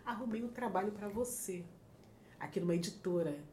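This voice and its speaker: A middle-aged woman from Rio with a Carioca accent, chatting as a podcast guest, lively and quick to laugh. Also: mid-range voice. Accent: Carioca Brazilian Portuguese. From Rio de Janeiro, Brazil. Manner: lively, animated, laughs often. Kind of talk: casual storytelling chat. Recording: roomy echo with faint noise.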